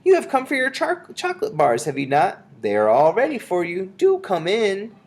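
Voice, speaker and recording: A performer voicing a falsely sweet female witch in a storybook, cooing gently and syrupy as if coaxing a child. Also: mid-range voice, wildly swooping pitch, normal speed, voice pushed up. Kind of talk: storybook character voice.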